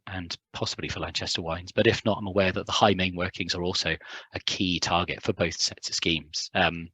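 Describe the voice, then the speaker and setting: A young man, a hydrogeologist, giving a conference talk in a hoarse, recovering voice, hesitant with many ums and restarts. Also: low voice, a bit bright, poor audio. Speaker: hydrogeologist presenting at a symposium. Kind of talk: conference talk with filler words.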